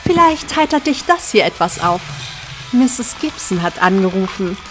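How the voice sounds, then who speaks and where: Woman acting easygoing female deputy, mid-range voice, wry, clear music behind, audio drama. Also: mid-range voice, very animated, normal speed, lightly acted. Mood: wry, informative. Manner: dry, gently teasing.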